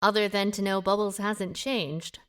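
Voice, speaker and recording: A woman's voice: medium pitch, steady tone, moderate pace, a bit bright, smooth, natural voice. A woman playing a guarded, independent small-town amateur sleuth in an audio drama, with a wry, reserved manner. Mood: reluctant, reflective. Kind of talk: audio drama dialogue acting.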